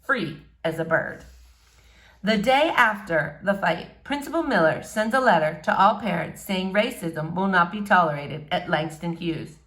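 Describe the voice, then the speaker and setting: A woman reading a novel in verse aloud, expressively, in short measured phrases. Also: on the low side, lively intonation, fairly bright tone. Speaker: audiobook-style reader.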